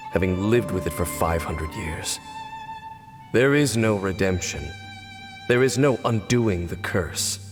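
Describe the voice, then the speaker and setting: An actor playing a grave, sardonic, centuries-old cursed knight in a video game, speaking in measured phrases. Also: male voice, lowish voice, lightly acted. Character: world-weary cynical cursed knight, centuries old, male. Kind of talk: video game cutscene voice acting. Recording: reverberant hall sound with music behind. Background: music.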